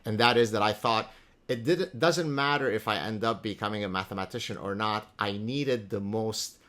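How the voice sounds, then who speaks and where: A man from Lebanon whose first language is Arabic, hosting an interview and telling stories in an animated, jovial way. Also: fairly low pitch. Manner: animated, storytelling, quick to laugh. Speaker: podcast host. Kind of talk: conversational interview.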